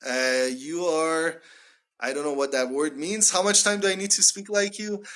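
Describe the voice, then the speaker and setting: A man's voice: fairly high pitch, steady tone, moderate pace, a bit bright. A man, teaching phrases on a live stream from a phone at home, chatty with many fillers. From Canada. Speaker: English teacher. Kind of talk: live-streamed English lesson. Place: small room indoors at home. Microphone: phone at arm's length.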